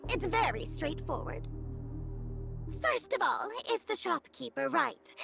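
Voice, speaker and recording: A woman's voice: very high voice, gravelly, exaggerated voice. A woman voicing an eager young assistant in a video game, explaining things in a deferential way. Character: eager young female assistant presenting case dossiers. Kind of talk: video game character acting. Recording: music behind voice.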